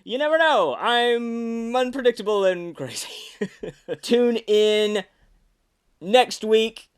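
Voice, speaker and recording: A man's voice: extremely high pitch, slow, clear, resonant tone. A man in his thirties hosting a podcast, telling behind-the-scenes stories casually in a hesitant, self-deprecating way. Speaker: podcast host and puppeteer.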